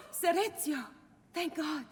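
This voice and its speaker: An actress playing a frightened, deferential young maidservant in a video game, sounding shaken. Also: female voice, very high-pitched, long reverb tail, noisy background, exaggerated voice. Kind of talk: scripted video game acting.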